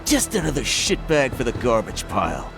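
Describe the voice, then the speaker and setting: An actor playing a callous gang thug in a video game dub, mocking and menacing, with a gravelly voice. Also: male voice, mid-range voice, huge pitch swings, moderate pace, over music. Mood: sneering.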